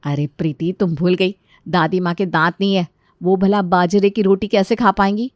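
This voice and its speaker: A woman's voice: fairly low pitch, steady tone, moderate pace, no room sound, clean studio sound, close to an everyday voice. Woman playing a patient, soothing daughter-in-law. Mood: reassuring.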